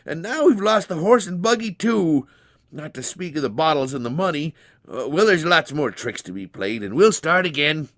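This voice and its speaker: A man's voice: a little high, sing-song, normal speed, a bit bright, pitched above the performer's own voice. A man voicing a grumbling, sly quack doctor and con man in a storybook, full of groans and exclamations. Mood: aggrieved, scheming.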